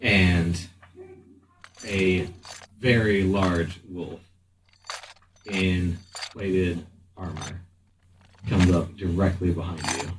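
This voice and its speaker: A man acting as game master, narrating a tabletop game in an improvised, halting way as he thinks aloud. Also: low voice, fairly even intonation. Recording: slightly distant mic, faint room noise.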